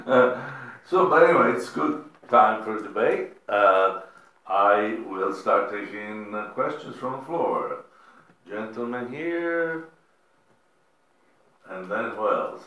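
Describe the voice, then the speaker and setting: A man's voice: on the low side, lively intonation, sharp tone. A man moderating a panel, taking questions from the floor and pointing to who speaks next. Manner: brisk, directing questions. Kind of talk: chairing a panel. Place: lecture room. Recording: echoey hall from far off, faint noise.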